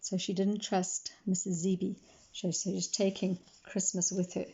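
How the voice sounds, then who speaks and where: A woman storyteller reading aloud to young children in expressive narration, explaining things simply as she goes. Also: mid-range voice, moderate pace, gravelly, slightly rough, lo-fi sound.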